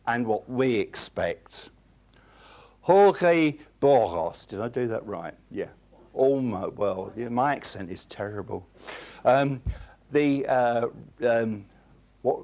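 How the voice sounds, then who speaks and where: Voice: medium pitch, muffled recording. A preacher delivering a sermon, emphatic and earnest, with chatty, self-deprecating asides to the congregation.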